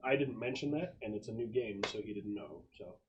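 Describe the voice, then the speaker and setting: A man's voice: fairly low pitch, brisk, highs cut off. A man acting as game master, talking casually through rules and describing what happens, in a noisy recording. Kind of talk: casual tabletop game talk and rules explanation. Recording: clearly noisy room recording with clicks.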